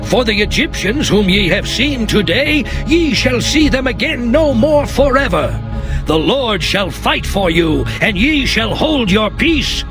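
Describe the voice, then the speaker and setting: A man's voice: extremely high pitch, very animated, measured pace, heavily acted. A man voicing Moses, calling out to the people in a raised, urgent and encouraging way. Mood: urging. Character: Moses, earnest male leader reassuring people. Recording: music underneath.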